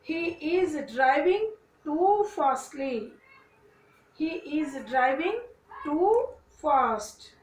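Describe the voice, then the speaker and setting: Slow high-voiced woman teaching grammar. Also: animated, far from the mic. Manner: slow, repeats each sentence phrase by phrase. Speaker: English teacher. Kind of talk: grammar lesson, reading example sentences aloud. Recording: echoey room, voice a bit off-mic, faint noise.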